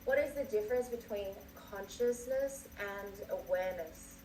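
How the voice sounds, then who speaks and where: A woman's voice: medium pitch, moderate pace, a little mellow, a little hiss or hum. A woman moderating a Q&A, reading audience questions aloud and adding short murmurs of agreement. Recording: echoey room, distant mic, faint crickets.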